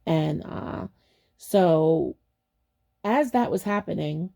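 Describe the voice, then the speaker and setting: A woman's voice: lowish voice, extremely slow, creaky, mellow. A woman hosting a faceless storytime channel, telling a personal story in a rambling, chatty way and acting out her own reactions. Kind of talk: casual storytime monologue.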